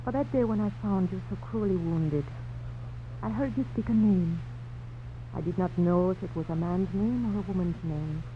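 Young governor's daughter in love played by an actress, careful and courtly, old radio drama, dull recording. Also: female voice, on the low side, moderate pace, slight background noise, lightly acted. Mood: wistful. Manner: formal, careful phrasing. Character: gracious, teasing young Spanish-colonial governor's daughter in love. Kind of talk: 1950s radio drama acting.

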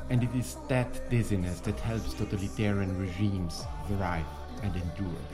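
Low-voiced man narrating a podcast. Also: steady tone, moderate pace, over music. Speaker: podcast host. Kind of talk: scripted narration.

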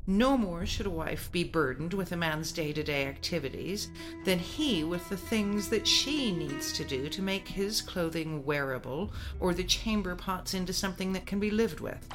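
A woman acting a stern, devout, long-suffering mother and wife in a radio play, lecturing with emphasis. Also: on the low side.

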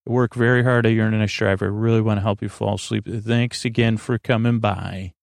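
A man with a creaky voice hosting a bedtime podcast, rambling in a lulling, halting way and often interrupting himself as he drifts into tangents. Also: on the low side, fairly even intonation, no echo, studio quality. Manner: meandering, halting, digressive, self-interrupting; soothing, lulling. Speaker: podcast host.

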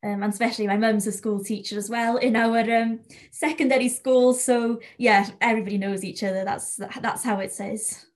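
A woman's voice: fairly high pitch, fairly even intonation, fairly quick, few pauses. A twenty-year-old woman from North Wales with a North Welsh accent, a native Welsh speaker, chatting casually as an interview guest. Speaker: podcast guest, young sheep farmer and sheepdog handler.

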